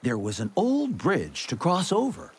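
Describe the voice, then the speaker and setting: A woman narrating a children's cartoon fairy tale as a lively, playful storyteller. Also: on the low side, a little slow, heavy background noise. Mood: storytelling. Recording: music behind the voice.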